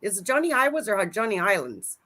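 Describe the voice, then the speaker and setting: A woman's voice: medium pitch, very animated, a little fast, bright, forceful tone. A woman in her forties, a guest on a livestream, chatting casually and laughing as she tells stories about friends. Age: 40s. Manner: chatty, laughs often, storytelling.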